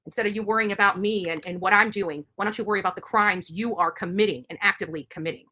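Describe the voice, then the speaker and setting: Fast, bright-voiced woman on an impassioned rant. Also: mid-range voice. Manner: emphatic, indignant, repeats herself. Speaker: live streamer. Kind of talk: impassioned live stream rant.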